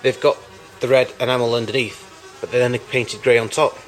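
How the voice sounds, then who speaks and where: A man with a mid-range voice talks through a collection in an informal, unscripted way. His delivery is halting and rambling, and he often corrects himself as he goes. He sounds like a hobbyist showing things off piece by piece. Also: medium pitch, flat delivery, faint music behind, low-quality recording. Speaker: collector showing vintage tape recorders. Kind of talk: informal unscripted show-and-tell commentary.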